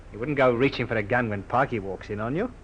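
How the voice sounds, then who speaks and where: A man playing a cocky, smooth-talking car thief and con man in an old radio crime drama, breezy and persuasive. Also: mid-range voice, fairly quick, a bit bright, natural voice. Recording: faintly noisy old radio recording.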